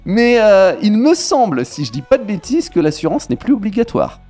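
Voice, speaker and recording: Man, game host, high voice, very lively intonation, playful banter, faint music behind. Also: moderate pace. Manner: animated, playful, hesitant fillers. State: has a cold.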